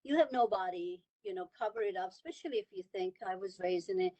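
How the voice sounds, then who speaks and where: A woman's voice: mid-range voice, fast talker, mellow, poor audio. A woman from Iran speaking on a panel over a video call, earnest and repeating words for emphasis. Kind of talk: panel discussion remarks.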